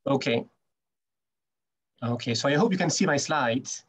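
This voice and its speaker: A man's voice: mid-range voice, measured pace, a bit bright, slightly rough. A man from Malaysia, a Malay speaker with a Malaysian English accent, giving a chatty talk as an English teacher, often restarting words and using "you know". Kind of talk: presentation on a video call. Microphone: video call mic. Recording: dull lo-fi video call audio.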